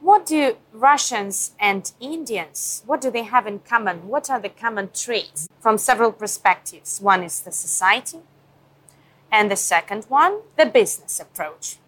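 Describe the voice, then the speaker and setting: A woman's voice: a little high, lively intonation, unhurried, fairly bright tone. Woman interviewer asking polite questions. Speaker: TV correspondent, interviewer.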